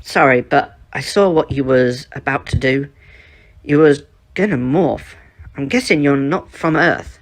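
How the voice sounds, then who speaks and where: A man's voice: medium pitch, unhurried, pitched above the performer's own voice, close to an everyday voice. A man voicing a young woman, an eager, matter-of-fact survivor in an amateur fan fiction story.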